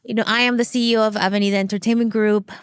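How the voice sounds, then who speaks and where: A woman's voice: fairly high pitch, very fast, smooth, no room sound, studio quality. A middle-aged woman from Venezuela, a native Spanish speaker, telling her story animatedly as an interview guest. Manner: animated storytelling with frequent laughs. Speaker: guest, producer and CEO. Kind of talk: interview conversation.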